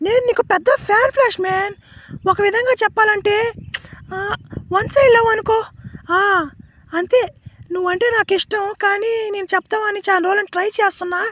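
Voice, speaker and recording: A woman's voice: very high-pitched, fairly bright tone. A woman voicing a feisty, outspoken village woman in slangy, emphatic cartoon comedy style. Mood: adoring, earnest. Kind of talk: amateur comedy cartoon voice acting.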